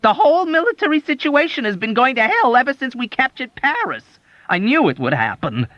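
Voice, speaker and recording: This is a high, whiny army doctor who pities himself endlessly, voiced by a male audiobook reader. His complaints lurch all over the pitch range in a plaintive, wailing tone. The recording is dry and a little muffled. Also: wildly swooping pitch, slow, a bit bright, dry recording, exaggerated voice. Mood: aggrieved. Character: whining self-pitying male army doctor.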